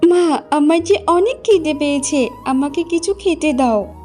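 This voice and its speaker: A woman's voice: sits high, animated, unhurried, a little mellow, soft background music. A woman voicing a young daughter, sweet and pleading with her parents. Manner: pleading, plaintive.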